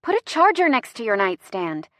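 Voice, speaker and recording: A woman voicing a bossy, sharp-tongued pregnant woman in labor, strained with pain and indignant, in an audiobook. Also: fairly high pitch, very animated, moderate pace, dry recording, clean studio sound. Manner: strained, indignant, clipped bursts. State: panting through pain.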